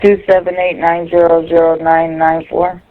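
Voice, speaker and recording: A woman's voice: lowish voice, flat delivery, moderate pace, phone-quality sound, soft background music. A woman in a casual phone conversation, explaining and instructing step by step over a recorded jail line. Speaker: caller on a jail phone call. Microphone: telephone.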